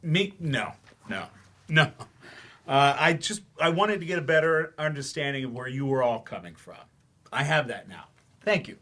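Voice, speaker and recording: A man playing a weary, regretful novelist turned teacher in a staged play reading, halting, self-deprecating and confessional. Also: on the high side, animated, pushed and bright. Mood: defensive, reluctant. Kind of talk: staged dramatic monologue.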